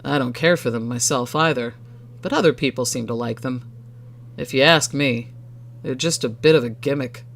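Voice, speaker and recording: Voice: mid-range voice, normal speed, vocal fry, a bit bright. A storyteller voicing a blunt male farmer in a story, casual and dismissive. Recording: background music under the voice.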